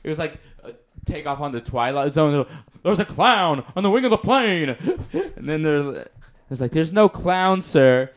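A man playing a vain famous male movie star in a deadpan comedy bit, rambling and giggling. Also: a little high, a little slow. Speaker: guest.

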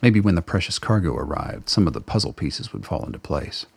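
A man's voice: low voice, very animated, brisk, gravelly, slightly rough. A man reading scripted dramatic narration as the narrator of a radio play.

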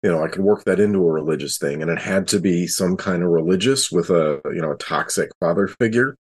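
A man's voice: sits low, fast, slightly rough. A man talking casually on a podcast panel, explaining things in a chatty way.

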